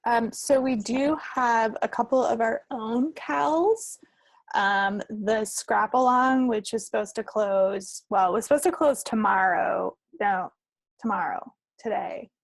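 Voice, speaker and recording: The folksy woman co-host on a homemade hobby podcast, with vocal fry and a close, dry sound. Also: on the high side, slow pace, no room sound. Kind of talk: casual chat.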